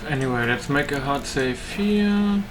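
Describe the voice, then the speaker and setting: A man's voice: medium pitch, lively intonation, a little slow, background noise. A man giving casual gameplay commentary, thinking aloud as he hosts a gaming video. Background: game music.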